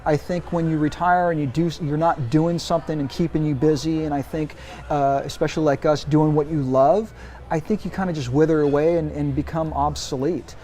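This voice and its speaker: A man in his forties talking business in an interview, with a voice pitched a little above most men. He speaks emphatically and in an animated way, often restarting his sentences as he works toward his point. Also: fairly quick. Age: 40s. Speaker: interview guest, brand executive. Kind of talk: casual interview answers.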